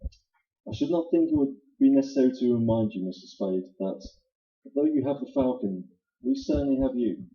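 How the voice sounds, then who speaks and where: An actor in a noir radio play, playing a fussy, nervous, spiteful crook who talks in a prissy, precise way. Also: male voice, medium pitch, wildly swooping pitch, normal speed. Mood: fussy, needling. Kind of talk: staged radio play acting, 1940s noir. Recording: roomy, dull live stage recording.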